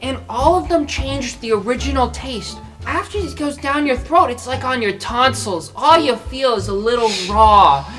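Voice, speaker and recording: A woman's voice: high voice, lively intonation, normal speed, hardly any pauses, a bit bright, faint music behind. A woman voicing a naive, eager, friendly young creature in a tabletop game, guileless and chatty. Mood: earnest, chatty.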